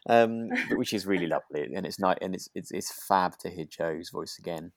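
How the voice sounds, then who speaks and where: A man's voice: sits low, brisk, vocal fry, dry recording, clean studio sound. A man co-hosting a podcast, chatting informally and thinking aloud in a tentative way. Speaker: podcast co-host. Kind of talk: relaxed, informal discussion.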